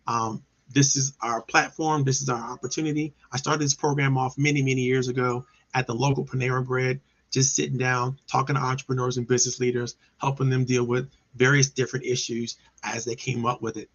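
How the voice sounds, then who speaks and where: A man's voice: medium pitch, little pitch movement, a little fast, a bit bright, muffled recording. A man from Maryland hosting a casual online business show over a video call, talking in an upbeat, enthusiastic way with frequent restarts. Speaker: host.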